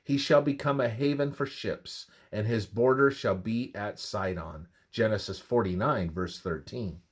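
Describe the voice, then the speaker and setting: A man reading a scripted religious study with scripture citations in a measured, expressive, oratorical manner. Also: lowish voice.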